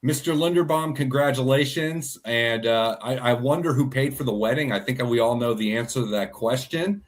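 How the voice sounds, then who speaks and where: A man co-hosting a casual sports podcast, speaking in a voice of middling pitch. He banters playfully and stumbles over his restarts as he jokes. His talk runs loose and unscripted. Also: moderate pace. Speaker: podcast co-host.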